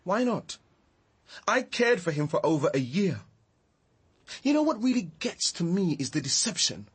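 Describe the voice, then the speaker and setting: Wounded, brooding Englishman for radio drama: husky, mid-pitched, very changeable intonation. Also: big pitch swings, normal speed, heavily acted. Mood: bitter, hurt. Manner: brooding, emotional. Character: bitter, grieving man, muddled and wounded. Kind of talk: naturalistic radio drama dialogue.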